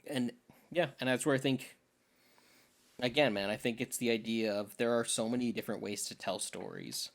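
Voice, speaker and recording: A man's voice: medium pitch, steady tone, moderate pace. Young guy on a film podcast, casual chat, opinionated and emphatic, slangy. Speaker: podcast co-host.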